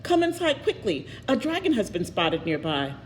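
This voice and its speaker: A woman's voice: medium pitch, big pitch swings, normal speed, long reverb tail, slight background noise, natural voice. A woman voicing a storybook noble lady, a worried mother who speaks urgently and decisively.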